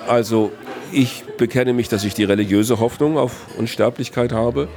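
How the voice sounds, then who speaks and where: A man, a philosophy professor answering interview questions in a measured, reflective way. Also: medium pitch, darkish tone, soft background music. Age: 60s. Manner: measured, reflective, builds long reasoned sentences.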